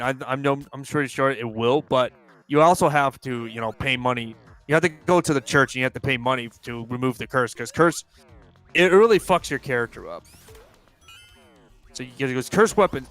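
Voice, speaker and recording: Brief: twenties guy, mid-pitched, loose rambling gamer talk with cursing, faint music underneath. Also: a bit bright. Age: 20s. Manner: rambling, swears often, restarts sentences. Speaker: co-player on livestream. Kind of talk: casual gaming chat.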